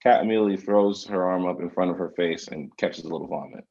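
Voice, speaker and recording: Low, gravelly man reading stage directions. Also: quick pace, a little mellow. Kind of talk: scripted narration at a table read. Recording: dull lo-fi sound.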